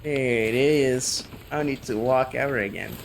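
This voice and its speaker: A man's voice: medium pitch, moderate pace, creaky, a little hiss or hum. A male streamer giving casual gameplay commentary and joking back and forth with his chat.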